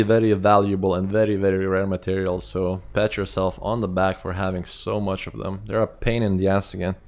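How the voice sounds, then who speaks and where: Chatty Lithuanian-accented man, low voice. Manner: rambling, filler-heavy, chatty. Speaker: game streamer. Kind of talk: casual live-stream chat.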